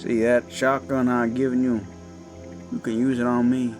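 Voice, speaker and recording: A man voicing a menacing Cajun werewolf of the swamps, with a Cajun accent and a slow, taunting drawl. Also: medium pitch, little pitch movement, a little mellow, lightly acted. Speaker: villain in audio drama. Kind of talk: horror audio roleplay. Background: faint eerie music and crickets.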